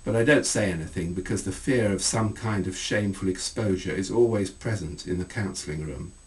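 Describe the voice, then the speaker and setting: A middle-aged man reading aloud from his own book as an author, in measured, deliberate phrases. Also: deep voice, distant mic, poor audio. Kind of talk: scripted literary reading.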